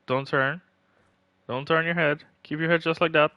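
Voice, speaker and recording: A man's voice: fairly high pitch, steady tone, normal speed, no echo, highs cut off. A man doing casual live gaming commentary, chatting and thinking aloud as he plays. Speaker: game livestreamer. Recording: music playing behind. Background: music.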